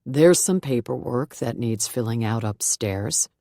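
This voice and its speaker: A gentle, fatherly church pastor, put on by a woman reading the book, speaks in a lowered, unhurried voice. His manner is soothing and patient. The sound is dry and close, with no room around it. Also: lively intonation, no room sound, heavily acted. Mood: welcoming. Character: warm, patient male pastor, middle-aged.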